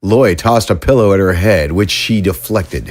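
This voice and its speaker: A man narrating a science fiction story in a measured, even storytelling manner. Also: deep voice. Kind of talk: scripted audiobook narration.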